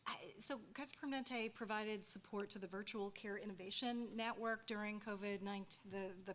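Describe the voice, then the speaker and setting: A woman speaks on a panel in an easy, conversational way. She thinks aloud as she goes and checks in with her listeners. Her voice sits at an ordinary middle pitch. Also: fairly bright tone. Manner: conversational, tag questions, thoughtful. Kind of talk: panel discussion.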